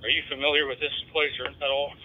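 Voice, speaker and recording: Male dispatcher, terse and even-toned, dull scanner-radio sound. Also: medium pitch, fast. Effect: two-way radio. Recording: band-limited, noisy police scanner radio.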